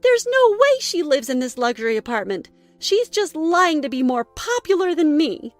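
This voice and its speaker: Woman putting on a furious young mother, haughty, accusing, screechy, raised high pitch, audio story character. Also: big pitch swings, moderate pace, dry recording, faint music behind. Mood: screeching rage. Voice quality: shrill, screechy when shouting. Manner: accusing, outraged shouting.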